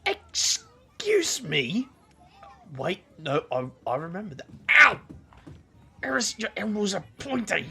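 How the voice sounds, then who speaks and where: A man playing a gruff, sarcastic British magician in an audio drama, with a gravelly voice and slangy, grumbling delivery. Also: high voice, sing-song, takes it slowly, long pauses, bright, forceful tone, full character voice. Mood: indignant.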